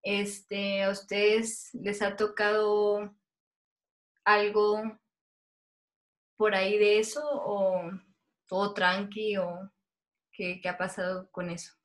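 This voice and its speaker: Slow-talking woman hosting a chat. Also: mid-range voice, level tone. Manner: slow, hesitant questions. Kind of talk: casual conversation.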